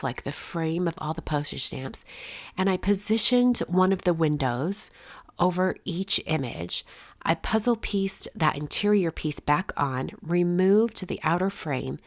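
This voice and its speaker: Woman with a stuffy head cold talking through her card making, low voice, chatty. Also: measured pace, no room sound. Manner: chatty, relaxed, explains step by step. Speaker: card-making channel host. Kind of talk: casual voice-over tutorial narration.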